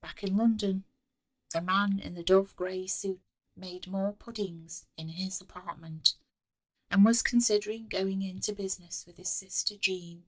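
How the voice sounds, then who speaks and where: A woman author reading her own story aloud in an expressive scripted narration. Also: mid-range voice, unhurried, no room sound, poor audio. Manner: expressive, acts out the dialogue.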